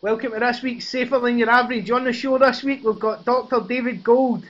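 Man, podcast host, very high voice, dull lo-fi recording, short prompts. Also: steady tone, a little fast, fairly bright tone. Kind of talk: casual interview hosting.

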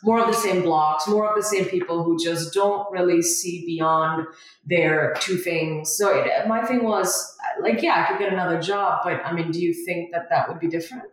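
A woman's voice: fairly low pitch, moderate pace. A young woman from India, a guest in a casual interview, talking earnestly with frequent "you know" fillers. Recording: very echoey room, far from mic.

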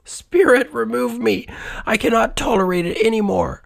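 Man doing a high, cranky old miser. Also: expressive, measured pace, bright, forceful tone, no room sound, full character voice. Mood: remorseful. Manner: snappish, cranky and clipped. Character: greedy cold-hearted miserly old man.

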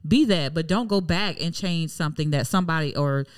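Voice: mid-range voice, brisk, clean tone, dry recording, clean studio sound. A speaker in casual conversation, emphatic and exasperated, repeating points. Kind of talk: casual chat.

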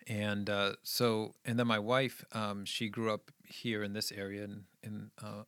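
A man's voice: fairly low pitch, little pitch movement, a little slow, a bit bright, smooth, no room sound. A middle-aged man talking casually and earnestly about his schooling and family, often trailing off. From New England, USA. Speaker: guest. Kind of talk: casual conversation.

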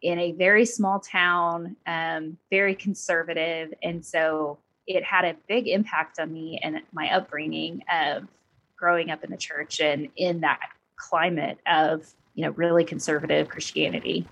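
A woman's voice: deep voice, steady tone, a little slow, creaky, fairly bright tone, a little hiss or hum, poor audio. A woman in her thirties from Oklahoma, a podcast guest who speaks in a candid, thoughtful way. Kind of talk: conversational interview.

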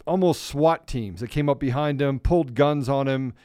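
A man's voice: medium pitch, moderate pace, dark tone, clear, resonant tone, no room sound, studio quality. A man in his forties, co-hosting a talk show, joking around and correcting himself as he goes. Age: 40s. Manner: joking, rambling self-corrections. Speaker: co-host. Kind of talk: casual talk show banter.

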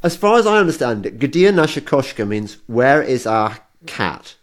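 A man's voice: mid-range voice, sing-song, moderate pace. A man hosting a casual interview, asking questions in a hesitant, rambling way. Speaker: interviewer, host.

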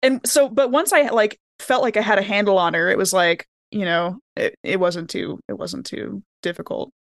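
A woman's voice: mid-range voice, fairly even intonation, moderate pace, dry recording, clean studio sound. A woman chatting casually as a podcast cast member, often laughing as she talks. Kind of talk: casual chat.

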